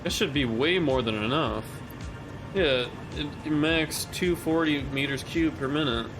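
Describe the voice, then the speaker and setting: A man streaming a game from home, chatting casually with viewers as his voice grows raspy and rough. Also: fairly high pitch, unhurried. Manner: laid-back, swears casually, talks to chat. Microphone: condenser studio mic. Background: game music.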